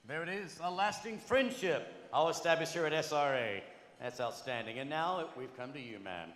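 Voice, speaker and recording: Jokey male emcee, rather high, lively, in a reverberant hall. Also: moderate pace. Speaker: event host. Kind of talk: emcee at a conference. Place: large conference hall.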